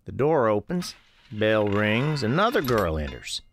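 Actor as folksy old country storekeeper, animated delivery. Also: male voice, fairly low pitch, a little hiss or hum. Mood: calm, observant. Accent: American. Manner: folksy, unhurried storytelling. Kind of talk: first-person scripted storytelling in radio drama.

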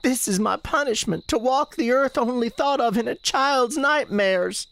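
A woman's voice: on the high side, measured pace, vocal fry, a bit bright. Plaintive, defiant teenage ghost girl. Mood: bitter, doomed. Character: restless defiant ghost of a sixteen-year-old novice nun. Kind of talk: dramatic radio theatre dialogue.

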